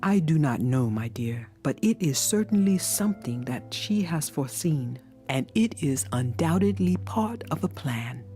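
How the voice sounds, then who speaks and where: An actress playing a wise, gentle older woman mentor in a video game, with measured, soft, solemn delivery. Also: deep voice, animated, faint music behind. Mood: grave, explanatory. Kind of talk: dramatic video game acting.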